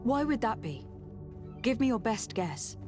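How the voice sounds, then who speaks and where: Higher-voiced, courteous noblewoman envoy. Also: very animated, unhurried. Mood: inquiring. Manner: courteous, formal. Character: polite, composed young noblewoman envoy, inquisitive. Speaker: voice actor in a video game. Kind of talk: scripted fantasy game dialogue. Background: music.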